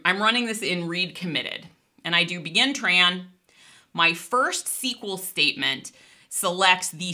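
A middle-aged woman giving a conversational technical lecture as an online instructor, explaining in an animated way. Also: mid-range voice. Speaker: instructor presenting an online database course.

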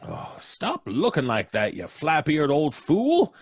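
An actor playing a crotchety, rattled small-town doctor in an old-time radio drama, blustery and folksy. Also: male voice, on the high side, wildly swooping pitch, takes it slowly, creaky, breathy edge, dry recording, studio quality, heavily acted. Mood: testy, rattled. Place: home recording, live remote video call.

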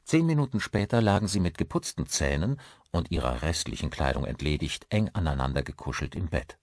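Very deep-voiced male audiobook narrator. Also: expressive, fairly quick, no echo. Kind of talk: scripted narration of a horror crime novel.

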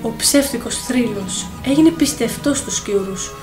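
A woman narrator reading a dramatic, scripted fairy tale for an animated film. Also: a little high, low-quality recording. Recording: voice over clear film music.

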